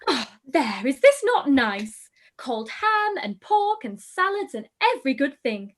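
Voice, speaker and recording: Woman as a giddy teenage girl, chattering, high voice, swooping pitch. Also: very animated, a little slow. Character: giddy, silly youngest sister, teenage girl. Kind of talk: live-read radio play.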